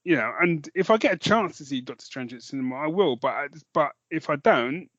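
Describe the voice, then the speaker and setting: A man with a British accent co-hosting a podcast, chatting casually in a rambling, halting way that often backtracks. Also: fairly high pitch, lively intonation, fairly bright tone, dry recording, dull audio. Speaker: podcast co-host. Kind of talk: casual chat about films and comics.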